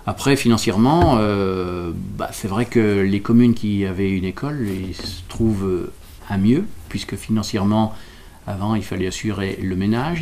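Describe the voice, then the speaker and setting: Low-voiced man explaining, searching for words. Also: darkish tone. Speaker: local representative explaining a village school project. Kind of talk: explanatory interview answers.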